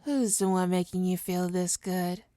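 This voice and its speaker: A woman playing a smug, seductive supervillain in an ASMR roleplay, coaxing and teasing her hero nemesis with deliberate, hypnotic talk. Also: lowish voice, fairly even intonation, dark tone, dry recording, clean studio sound, natural voice. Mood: soothing, hypnotic.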